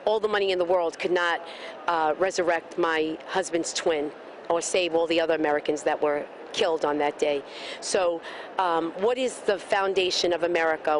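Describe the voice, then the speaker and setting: A woman's voice: fairly low pitch, a little hiss or hum. A woman speaking fervently at an outdoor street rally, answering an interviewer with speech-like rhetorical questions. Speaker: rally protester being interviewed.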